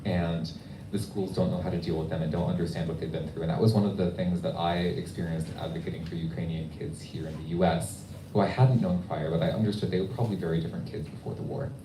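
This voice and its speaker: A man speaking conversationally as an author at a question-and-answer event, thoughtful and halting with pauses. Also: sits low, little pitch movement. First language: English. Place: bookstore event room. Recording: echoey room, distant mic, noisy.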